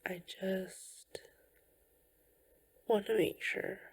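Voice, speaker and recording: A woman playing a tender, caring girlfriend in an ASMR comfort roleplay, speaking gently and reassuringly in a breathy voice that often slips toward a whisper. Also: mid-range voice, steady tone. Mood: tender concern. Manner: gentle, slow, reassuring, with soft pauses.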